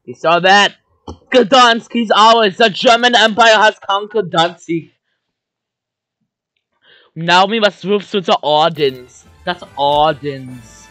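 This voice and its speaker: A young man streaming a war strategy game from a desk at home, yelling at the game and putting on silly voices. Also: high-pitched, takes it slowly, no room sound, faint music behind. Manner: yelling and screeching at the game, silly mock accents. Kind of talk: excitable gaming commentary.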